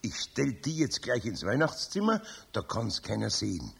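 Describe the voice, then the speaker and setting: Patient, good-natured older craftsman, Bavarian accent, rough creaky voice with lively swings, homely radio drama delivery. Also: mid-range voice, moderate pace, full character voice. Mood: soothing, busy. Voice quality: raspy, husky. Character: kindly, patient older master carpenter. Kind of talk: 1970s children's radio play acting.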